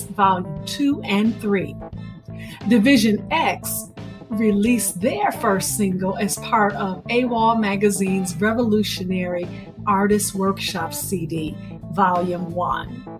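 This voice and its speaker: Slow-paced woman host over guitar music. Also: mid-range voice. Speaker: host introducing a featured storyteller. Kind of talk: scripted radio introduction. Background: music, plucked guitar.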